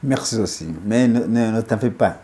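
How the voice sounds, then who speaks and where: A man's voice: on the low side, moderate pace, warm tone. A man, a studio guest, giving emphatic political commentary, who often repeats and restarts his phrases.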